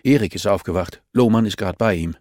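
A man's voice: lowish voice, brisk, a little mellow, no echo, clean studio sound, natural voice. A man voicing a terse, guarded male investigator in an audiobook, curt and reserved. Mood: businesslike.

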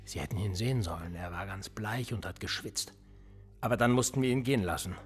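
A man's voice: lowish voice, brisk, breathy edge, soft background music. An actor playing a cool, cynical male police interrogator in a radio drama, smug and needling. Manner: smug, needling, lively intonation.

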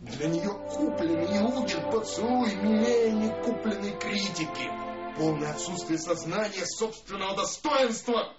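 Radio play role: bitter tipsy husband, querulous drawn-out monologue, dull sound. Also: male voice, sits high, loud music behind the voice. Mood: scornful. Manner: insistent declaiming, drawn-out grumbling. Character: bitter, drunken, querulous husband of a famous singer.

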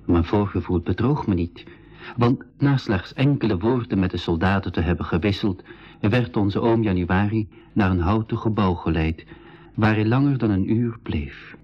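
Low, husky storyteller. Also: male voice, muffled recording. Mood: sober, foreboding. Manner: literary, measured. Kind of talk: scripted first-person radio drama narration.

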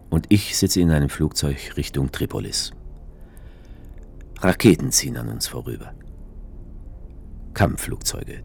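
A man's voice: extremely low pitch, vocal fry, darkish tone, a little hiss or hum. An actor playing a male writer who travels to Tripoli and narrates the story in a dry, ironic way. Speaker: narrator as author character. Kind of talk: radio drama narration. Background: music score.

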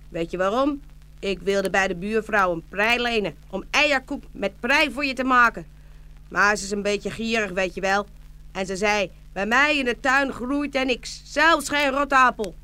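A woman as a bright, gushing farmer's wife. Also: mid-range voice, clean tone, close to an everyday voice. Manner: gushing, affectionate. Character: adoring, ever-delighted farmer's wife, easily pleased. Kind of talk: children's fairy-tale audio play acting.

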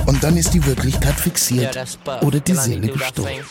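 A man's voice: mid-range voice, expressive, a little fast, over loud music, natural voice. A man playing a pompous, self-satisfied colonial potentate, lecturing grandly in a satirical stage monologue. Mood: fascinated, acquisitive. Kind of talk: theatrical monologue in a satirical revue.

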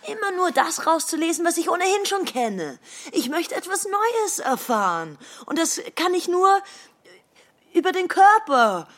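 An actress playing an earnest, stubborn stage performer in a radio play, insistent and defensive in argument. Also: female voice, very high-pitched, sing-song, unhurried, a bit bright, exaggerated voice.

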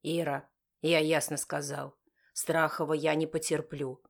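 Stern father done by a female narrator, lowered pitch, curt, firm, bitter authority, audiobook. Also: level tone, a little slow, creaky, exaggerated voice. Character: stern, wounded, authoritative father of a teenage girl.

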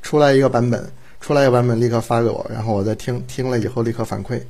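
A man with a mid-range voice talks very quickly in a casual interview. He is a director, earnest in manner, and tends to repeat his phrases. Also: mid-range voice, steady tone, warm tone. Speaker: audio drama director, guest.

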